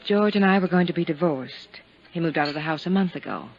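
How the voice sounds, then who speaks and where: An actress playing a proud, guarded widow in a 1950s radio drama, speaking with dry poise. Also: female voice, lowish voice, brisk, slight background noise, natural voice. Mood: measured, defensive. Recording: dull 1950s radio recording, faint music.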